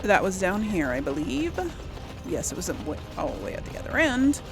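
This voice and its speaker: A woman's voice: medium pitch, sing-song, moderate pace, creaky, music in the background. A woman doing casual video game commentary, rambling and thinking aloud in an unsure way. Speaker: video game let's-play host.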